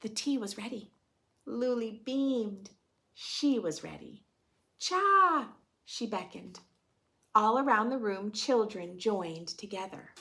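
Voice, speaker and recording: A woman reads aloud for preschoolers in a gentle, expressive storytime style. Her pitch moves in lively swings while her pace stays slow and patient. A touch of creak runs through her voice as she speaks simply to young listeners. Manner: gentle, expressive, addressing young children with questions. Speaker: storytime host reading a picture book aloud to preschoolers. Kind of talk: children's read-aloud with simple explanations and questions.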